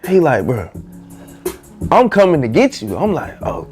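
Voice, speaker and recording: A man's voice: on the high side, wildly swooping pitch, unhurried, darkish tone, soft background music. A young man, a rapper telling his story as a podcast guest in loose, slangy talk. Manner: loose, slangy, peppered with "you know what I'm saying". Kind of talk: casual storytelling interview.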